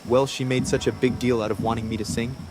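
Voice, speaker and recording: A man's voice: mid-range voice, little pitch movement, quick pace, background noise, close to an everyday voice. A man acting a grumbling, reluctant amateur singer in an audio drama. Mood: disgruntled. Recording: loud music over voice.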